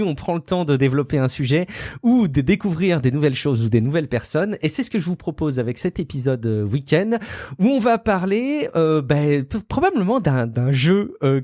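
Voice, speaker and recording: A man's voice: fairly high pitch, darkish tone, dry recording. Chatty French man hosting with a dry sound. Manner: chatty, hesitant, lots of fillers. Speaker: podcast host. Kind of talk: hosting a tech podcast interview.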